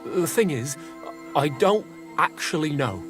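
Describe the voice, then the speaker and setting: Casting: cheeky medieval rogue, slightly high voice, rough-spoken, haggling for his skin, game drama. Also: lively intonation, unhurried, fairly bright tone, faint music behind. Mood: bargaining. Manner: rough colloquial talk, hesitant hedging. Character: cornered male medieval thief, shifty, cheeky bargainer. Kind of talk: video game drama acting.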